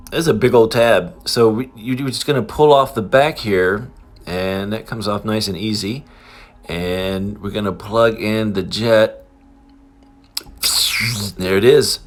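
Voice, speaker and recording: A man chatting casually through a toy unboxing and review, with an excitable, giggly fan's enthusiasm. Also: on the low side. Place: indoors in an apartment.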